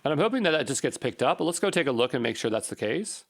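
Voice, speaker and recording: A man's voice: mid-range voice, sing-song, quick pace. An informal man presenting a coding tutorial in a mid-range voice. He talks through each step as he goes, often muttering to himself in a casual, thinking-aloud way.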